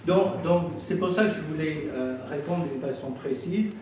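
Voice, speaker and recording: Echoey, distant, higher-pitched Frenchman explaining insistently. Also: moderate pace, darkish tone. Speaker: president of an intercommunal council answering questions. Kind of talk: spontaneous explanation at a public council meeting. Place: indoor council meeting room. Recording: very echoey room, distant mic, faint noise.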